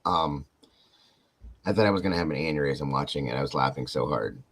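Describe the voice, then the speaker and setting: Male podcast host, deep, loose and animated delivery. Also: fairly quick, husky. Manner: rambling, animated, talks to chat viewers. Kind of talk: casual podcast chat.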